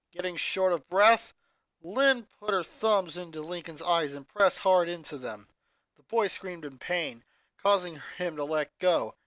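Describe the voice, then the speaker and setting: A man's voice: high-pitched, sharp tone, no room sound, poor audio. A man dramatically reading a fanfic aloud and narrating the action. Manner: dramatic, building intensity. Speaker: fanfic reader.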